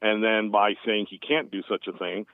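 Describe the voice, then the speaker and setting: A man's voice: fairly low pitch, fairly even intonation, moderate pace, creaky, dry recording. A man in his late fifties, calling in to a show, talking in an easygoing, rambling way with many fillers. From United States. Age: 50s. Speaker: caller. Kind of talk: casual phone call. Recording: tinny phone line.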